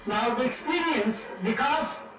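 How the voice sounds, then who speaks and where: A man's voice: extremely high pitch, lively intonation, unhurried. Older man, Indian English accent, joking lecturer, Hindi asides, distant mic, echoey seminar hall. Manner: jovial, mixing Hindi into English, laughing at own jokes. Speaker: professor giving a guest lecture. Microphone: room mic from afar.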